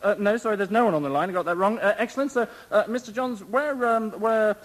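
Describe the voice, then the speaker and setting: A man's voice: sits high, quick pace, natural voice. An actor playing a hapless male radio phone-in host who stumbles and stalls with endless ums and uhs. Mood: flustered. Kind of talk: radio comedy sketch.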